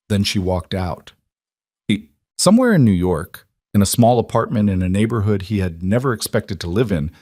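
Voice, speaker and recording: A man reading a scripted dramatic story in a measured, solemn manner. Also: lowish voice, darkish tone, dry recording, studio quality. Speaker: narrator.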